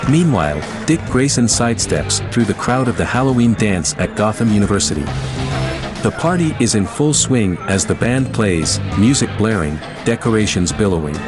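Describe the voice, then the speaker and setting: Low-voiced male narrator over loud music. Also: sing-song, normal speed. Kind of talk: dramatic scripted audiobook narration.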